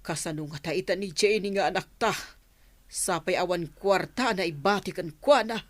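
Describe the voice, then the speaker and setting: A woman acting in an Ilocano radio drama as a sharp-tongued, money-hungry village wife, feisty and full of complaint. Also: on the low side, normal speed, no room sound, natural voice. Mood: furious, complaining.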